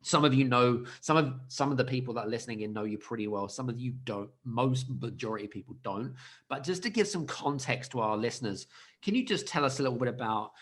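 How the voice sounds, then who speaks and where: A man hosting a business podcast, introducing his guest with lively emphasis, loud greetings and halting restarts. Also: medium pitch. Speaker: podcast host. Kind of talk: enthusiastic podcast interview.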